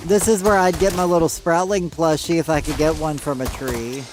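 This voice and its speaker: A man's voice: a little high, fairly even intonation, normal speed, noisy background. A man chatting casually with viewers while playing a game, upbeat, saying y'all, and losing his voice. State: losing his voice, clearing throat. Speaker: game streamer. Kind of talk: casual live-stream chat to viewers.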